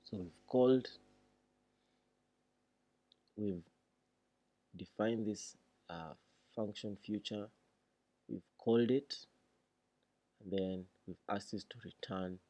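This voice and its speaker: A man giving a read-along programming tutorial, reading deliberately and thinking aloud as he explains. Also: on the low side, warm tone.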